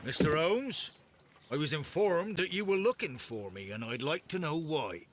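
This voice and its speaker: A man's voice: fairly high pitch, lively intonation, moderate pace, noisy background, natural voice. An actor playing a proud, defiant ship's captain in a video game, formal and emphatic in his delivery. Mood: guarded, wary. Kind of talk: dramatic video game voice acting.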